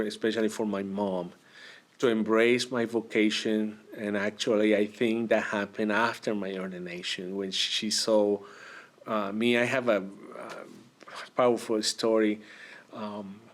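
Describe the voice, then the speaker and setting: Reflective priest from Argentina. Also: male voice, lowish voice. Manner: unhurried, reflective, with frequent pauses and hesitations. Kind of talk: personal story in an interview.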